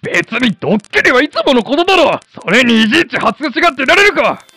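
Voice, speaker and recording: An actor playing a gruff, short-tempered, old-fashioned middle-aged father in a radio drama, barking orders and blustering. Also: very high voice, big pitch swings, fast talker, bright, forceful tone, dry recording, full character voice. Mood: flustered anger.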